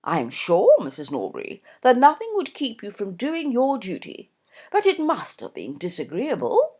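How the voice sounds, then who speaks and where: Casting: courteous young gentleman sleuth, calm and measured, voiced by a woman. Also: on the high side, breathy edge, full character voice. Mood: polite. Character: polite, cautious young gentleman investigator.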